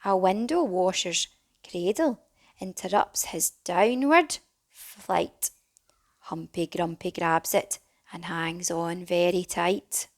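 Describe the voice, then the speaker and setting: A woman reading a rhyming children's storybook as a teacher would, dramatic and animated, with some hushed phrases. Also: fairly low pitch, slow pace. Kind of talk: expressive children's storybook narration in rhyme.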